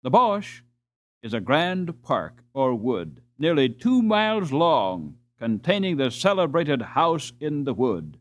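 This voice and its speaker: A man's voice: medium pitch, big pitch swings, slow. A man narrating a storybook as a scripted audiobook reading.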